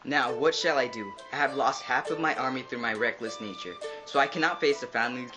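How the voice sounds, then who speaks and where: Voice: medium pitch, brisk, over music, lightly acted. A performer playing a proud, doomed Trojan warrior prince in a mythological puppet show. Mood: troubled.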